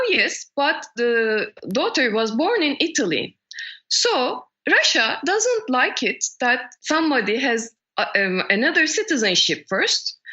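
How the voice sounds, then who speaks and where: Bright, lively Turkish woman, indignant. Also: high voice, highs cut off. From Turkey. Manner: indignant, emphatic, restarts often. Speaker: interview guest. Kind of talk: casual interview conversation.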